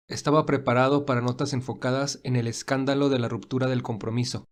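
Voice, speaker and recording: A man playing a reserved young millionaire in an audio story, speaking in a measured, earnest, formal way. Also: mid-range voice, close to an everyday voice. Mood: resigned. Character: William, reserved young millionaire, weary and principled.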